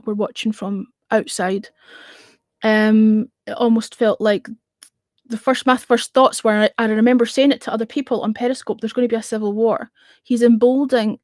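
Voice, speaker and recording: Casting: middle-aged woman, chatty radio host, higher-pitched, dry close sound. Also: darkish tone, dry recording. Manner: chatty, rambling, answering viewer comments. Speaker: radio show host on a livestream. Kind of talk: casual livestream chat.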